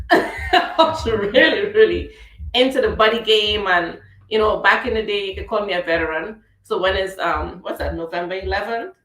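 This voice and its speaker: A woman's voice: mid-range voice, a bit bright, echoey room, rough recording. A woman from Trinidad with a Trinidadian accent, hosting a casual talk radio chat in a chatty, playful way. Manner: chatty, playful, laughs easily. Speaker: radio show host.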